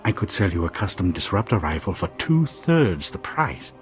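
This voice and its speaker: A man acting a smooth, menacing arms dealer in a video game, oily and businesslike. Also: low voice, very animated, moderate pace, soft background music. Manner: oily, businesslike, veiled threats.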